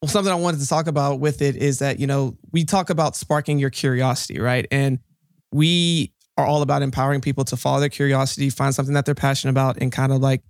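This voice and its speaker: A man's voice: mid-range voice, no echo, studio quality. A man hosting a podcast, talking in a casual, upbeat interviewer's style. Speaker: podcast host.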